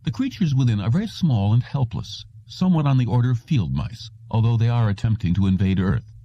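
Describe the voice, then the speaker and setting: A man's voice: mid-range voice, animated, mellow, no room sound. A man voicing a stern, formal, patient elderly psychiatrist in an audiobook. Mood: patient, explaining. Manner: formal, wordy, deliberate. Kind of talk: audiobook character reading. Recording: dull, muffled lo-fi audio.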